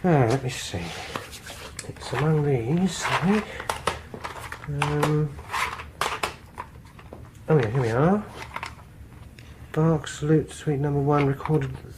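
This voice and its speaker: A man's voice: on the high side, slow, pauses often, background noise. An actor playing a fussy, solicitous host of music gatherings in a radio horror drama, a British man with a hesitant, polite, precise manner. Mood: hesitant, coaxing. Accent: British English.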